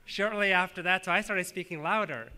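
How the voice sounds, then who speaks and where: Earnest official in his thirties from Tanzania, native Swahili speaker, on a podium speech; very bright tone, a touch high. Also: clean tone. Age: 30s. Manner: earnest, measured, with frequent "uh" fillers. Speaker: government official giving a speech. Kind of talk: prepared public speech.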